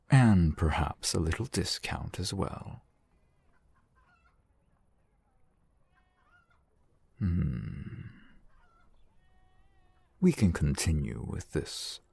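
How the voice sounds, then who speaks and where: A man playing a genial, teasing, flirtatious fantasy shopkeeper in an ASMR roleplay, unhurried and wry. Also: warm tone, no room sound, heavily acted. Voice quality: low, gravelly, husky. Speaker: shopkeeper character in audio roleplay.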